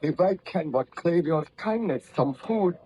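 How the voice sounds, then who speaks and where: An actor playing a weak, driven baron in an old radio drama, speaking in formal, archaic phrases with anguish. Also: male voice, on the high side, lively intonation, a little slow, mellow, a little hiss or hum. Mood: weary, anguished. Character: weak, driven baron rescued from the Arctic ice. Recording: dull old radio recording.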